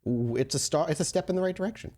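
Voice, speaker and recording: A man's voice: on the high side, lively intonation, quick pace, darkish tone. A man speaking as a podcast cast member in casual, unscripted chat. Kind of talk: casual chat with promotional asides.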